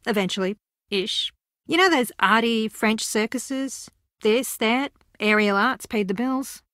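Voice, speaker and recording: A young woman competing on a reality show in a video game, boastful and theatrical. Her voice is fairly high and very bright, with a sassy, combative edge. The sound is dry. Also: unhurried, no room sound. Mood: wry, casual.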